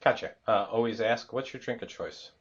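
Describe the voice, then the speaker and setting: A man's voice: medium pitch, fairly quick, highs cut off. A man hosting an interview podcast in an easygoing, chatty way, stumbling on the first words of his phrases. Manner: easygoing, chuckles and stutters on starts. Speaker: podcast host.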